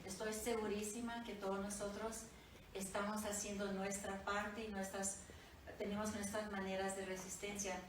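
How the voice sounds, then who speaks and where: A woman's voice: medium pitch, level tone, moderate pace. A woman from Honduras raised in the United States, a family therapist giving hesitant remarks at a book presentation, searching for words. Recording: echoey distant room recording with noise.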